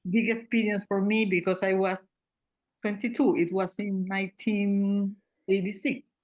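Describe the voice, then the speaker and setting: Woman in her sixties, halting English. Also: medium pitch, level tone, darkish tone, poor audio. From Venezuela. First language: Spanish. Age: 60s. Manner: halting English, searching for words. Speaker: meditation group participant. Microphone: video call.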